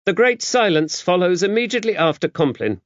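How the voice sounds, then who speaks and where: A man voicing a gruff, stern, worldly-wise older nun with a brusque manner, in an audiobook. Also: sits high, sing-song, brisk, no echo, dull audio, pitched above the performer's own voice. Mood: brisk, drilled. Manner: stern, brusque, matter-of-fact. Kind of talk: audiobook character dialogue.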